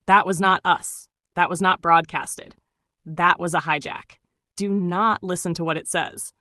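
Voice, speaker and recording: A woman's voice: on the low side, steady tone, measured pace, bright, forceful tone. A woman reading a scripted emergency alert, giving urgent, repetitive warnings. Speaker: emergency broadcaster.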